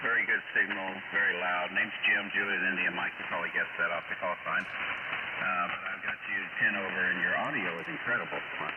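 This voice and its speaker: Caller, Texan man, deep voice, relaxed; noisy, dull shortwave audio. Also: a little fast. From Texas, US. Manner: relaxed, chatty radio operator. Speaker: radio caller. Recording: muffled shortwave radio signal with heavy noise.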